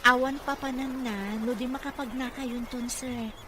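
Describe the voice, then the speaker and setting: A woman's voice: high-pitched, steady tone, normal speed, noisy background, natural voice. A woman playing a devoted, respectful therapist to a rich boss in an Ilocano radio drama. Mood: encouraging.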